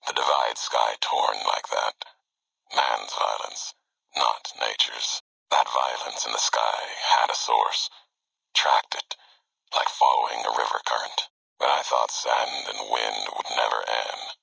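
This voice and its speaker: A grim, haunted wasteland wanderer from a video game, speaks in a slow, breathy rasp. The voice is extremely deep, and he sets out his solemn monologue in terse fragments with deliberate pauses. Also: steady tone, pushed and bright, dry recording, heavily acted, rough recording. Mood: grave, reflective. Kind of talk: dramatic video game monologue, recorded-log narration.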